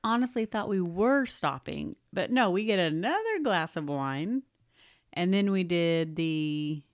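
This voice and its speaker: Woman co-host, lowish voice, easygoing chat. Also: measured pace, no room sound, clean studio sound. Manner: relaxed, laughs along. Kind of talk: casual chat.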